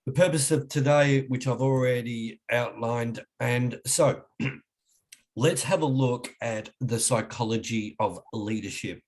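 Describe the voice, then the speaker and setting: A middle-aged man with an Australian accent, husky from a cold, giving an online webinar lecture in a halting way with many restarts. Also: mid-range voice, darkish tone. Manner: halting, frequent restarts and fillers. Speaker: webinar presenter.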